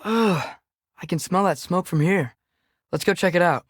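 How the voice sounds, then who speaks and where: A man's voice: high voice, moderate pace, no room sound, studio quality. A young male actor with an American accent voicing an earnest, curious teenage boy adventurer in a video game, speaking in a casual, questioning way. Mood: inquisitive, matter-of-fact. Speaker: video game companion character. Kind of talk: scripted game dialogue, conversational.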